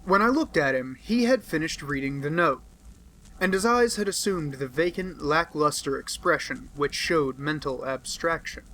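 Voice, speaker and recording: A man reading a classic detective novel aloud in a measured storytelling manner. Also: fairly high pitch, a bit bright. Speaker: audiobook reader. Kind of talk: scripted narration. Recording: home recording.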